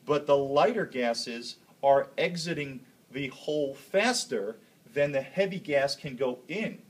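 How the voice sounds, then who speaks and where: A man's voice: medium pitch, lively intonation, unhurried, breathy edge. A man speaking as a chemistry teacher, explaining a hands-on demonstration step by step and checking in as he goes.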